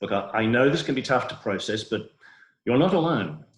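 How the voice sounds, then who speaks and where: A man's voice: mid-range voice, lively intonation, fairly quick, roomy sound, lightly acted. A man playing a smug, shameless doctor with a grave, hesitant clinical manner in a comic play reading over a video call. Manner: hesitant, mock-solemn clinical delivery.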